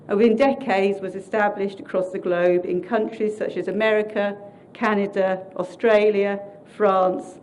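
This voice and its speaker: A loud-voiced woman minister talks a council meeting through a short history, friendly and explanatory, mostly reading from her notes. Her pitch sits in the middle of the female range. A faint hiss runs under the recording. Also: poor audio. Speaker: Salvation Army minister. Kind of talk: community presentation at a council meeting.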